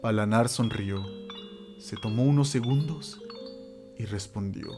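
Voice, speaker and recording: A man narrating a horror radio drama from a script, theatrical and suspenseful, with dramatic pauses. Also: fairly low pitch, over music.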